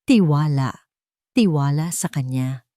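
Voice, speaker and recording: A woman narrates a suspenseful romance thriller as a scripted audio story. Her voice sits fairly low, and the sound is dry with hardly any room in it. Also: animated, takes it slowly, mellow, no room sound.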